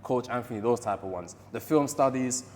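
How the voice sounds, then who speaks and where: A man's voice: mid-range voice, brisk, a little mellow, long reverb tail, slight background noise. A man in his twenties with an East London accent, chatting casually as a podcast guest, laid-back and chatty, often adding "you know what I mean". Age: 20s. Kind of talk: casual chat. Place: boxing gym.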